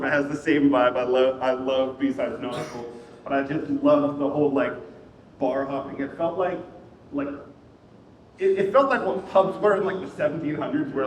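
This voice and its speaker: A man giving a casual, self-deprecating conference talk, with lots of ums and restarts, in a room at a wedding venue. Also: fairly high pitch. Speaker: conference speaker. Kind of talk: casual rambling tech talk. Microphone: room mic heard from a distance. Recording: echoey hall, distant, muffled.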